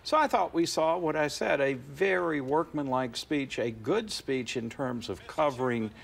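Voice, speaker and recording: A man in his fifties, a poll director and studio guest, explaining politics in a measured way, with fillers and restarts, on a TV studio couch and a lapel mic. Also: a little high, vocal fry. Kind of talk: analytical studio interview. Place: TV studio set, seated on a couch.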